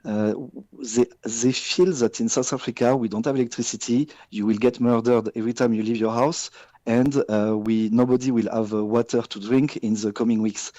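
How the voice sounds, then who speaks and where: A man from France with a French accent, answering a radio business interview in measured English with frequent hesitations and restarts. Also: medium pitch, steady tone, warm tone, dry recording. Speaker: business guest.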